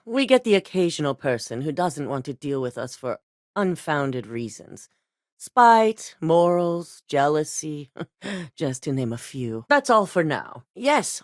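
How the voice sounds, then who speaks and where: Stern game CEO, low voice, lively intonation, curt and authoritative. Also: female voice, dry recording, studio quality. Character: cold, commanding female corporate CEO, middle-aged. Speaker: corporate executive. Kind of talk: video game voice acting.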